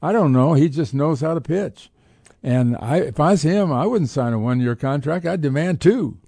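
A man's voice: on the high side, expressive, normal speed, creaky, dark tone, no room sound, studio quality. A man with an American accent co-hosting sports talk, giving off-the-cuff, opinionated casual commentary. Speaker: sports talk show co-host.

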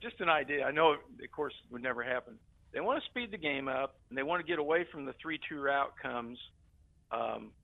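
A man's voice: mid-range voice, gravelly, no room sound. An older American man calling in to a radio show, giving casual sports opinions. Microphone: phone call. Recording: narrow phone line sound.

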